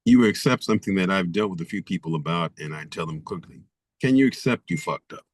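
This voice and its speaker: A man's voice: sits low, animated, fast talker, dry recording. A man guest author in an interview, speaking emphatically and stacking rhetorical questions. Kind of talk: interview conversation.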